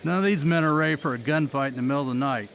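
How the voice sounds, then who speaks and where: Higher-voiced, steady American colonel. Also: male voice, racing, vocal fry, a little hiss or hum. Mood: calm, decisive. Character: tactical former army colonel, steady posse man. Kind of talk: radio drama acting.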